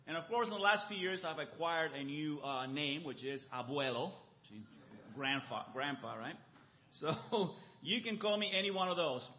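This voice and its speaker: A man's voice: fairly high pitch, expressive, normal speed. An older man from the United States gives a conversational church talk to a congregation, with many fillers and tag questions. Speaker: church mission director. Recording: muffled lo-fi recording.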